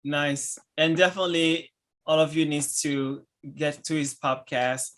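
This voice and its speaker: A man with a Haitian accent talks in a bright, ringing tone that sits a little high. He is an enthusiastic podcast host who laughs often. His phrases come in halting bursts with frequent restarts. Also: fairly even intonation. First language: French and Haitian Creole. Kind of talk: casual interview chat.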